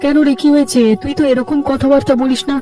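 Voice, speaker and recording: A man's voice: very high voice, completely flat delivery, fast talker, mellow, far from the mic, soft background music. A man voicing a concerned young office buddy in a cartoon, chummy and full of nosy questions. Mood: curious, concerned. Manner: chummy, inquisitive. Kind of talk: cartoon dialogue acting.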